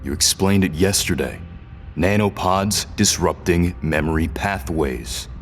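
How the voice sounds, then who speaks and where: An actor playing a defiant, disoriented prisoner in an audio drama, a very deep-voiced man who is guarded, halting and stubborn. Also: faint music behind. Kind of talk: scripted audio drama dialogue.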